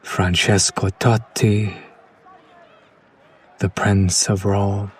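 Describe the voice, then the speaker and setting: A man playing a condescending, smitten kidnapper in an ASMR roleplay, speaking in a hushed, breathy, lingering way. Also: on the low side, little pitch movement, drawn-out words, full character voice. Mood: hushed, admiring. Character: condescending yandere male kidnapper, smitten with his captive. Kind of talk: whispered ASMR roleplay, slow soft recitation.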